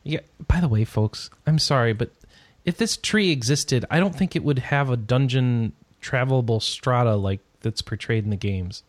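A man's voice: mid-range voice, moderate pace, few pauses, dry recording. A man hosting a casual podcast, reading out gaming news with rambling, sarcastic asides that trail off into mumbles.

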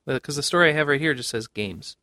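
A man's voice: fairly high pitch, quick pace, sharp tone, no echo. A man hosting a casual panel podcast about gaming news, speaking in a conversational way and given to chuckling. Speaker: podcast host.

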